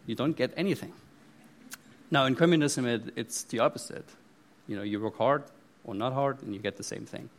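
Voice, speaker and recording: A man's voice: mid-range voice, normal speed. A middle-aged man from East Germany with a German accent, speaking from the front of a church with many fillers, restarts and joking asides. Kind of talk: sermon.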